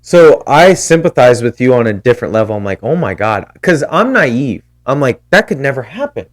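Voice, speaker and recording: A man hosting a casual podcast chat, animated, swearing freely and supportive. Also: mid-range voice, normal speed. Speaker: podcast host.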